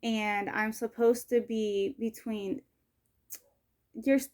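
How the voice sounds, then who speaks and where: A woman's voice: fairly high pitch, fairly even intonation, slow, a little mellow. Twenty-something woman rambling on in her vlog, tons of likes and ums, keeps correcting herself. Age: 20s. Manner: rambling, heavy use of "like" and "um", frequent self-corrections. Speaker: vlogger. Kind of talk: casual personal vlog.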